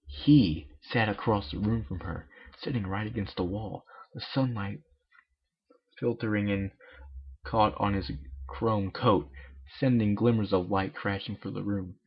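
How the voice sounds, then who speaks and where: A man's voice: on the low side, breathy edge, dull audio. A young man reading fan fiction informally, with jokey, self-deprecating asides. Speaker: amateur fan fiction reader.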